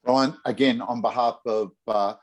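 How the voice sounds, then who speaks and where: A man's voice: mid-range voice, steady tone, moderate pace. An older man moderating an online panel, thanking each guest in effusive, formal words over a video call.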